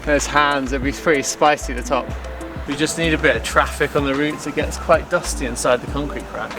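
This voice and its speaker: A fairly high-voiced man chats to the camera outdoors, breezy and enthusiastic. He keeps dropping in "like" as he points things out. Clear music plays behind him. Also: steady tone, fairly quick. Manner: chatty and enthusiastic, many fillers like "like". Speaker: climbing vlogger. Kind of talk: casual talk to camera. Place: outdoors beside a concrete wall.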